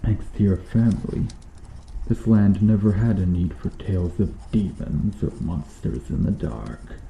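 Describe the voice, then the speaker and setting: A man playing a bitter, sardonic medieval knight in a slow, intimate ASMR roleplay, speaking deliberately with quiet menace. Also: deep voice, animated, vocal fry, exaggerated voice. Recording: roomy echo with faint noise.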